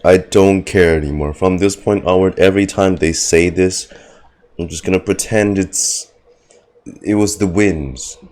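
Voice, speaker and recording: Low, slow-talking sarcastic man streaming. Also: steady tone, a little mellow. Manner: sarcastic, sweary. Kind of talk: casual game reaction commentary. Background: game music.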